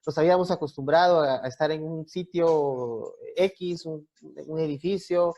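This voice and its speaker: Mellow Guatemalan pastor, dull muffled audio. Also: male voice, on the high side, a little slow. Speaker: youth pastor, interview guest. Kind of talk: conversational interview, explanatory.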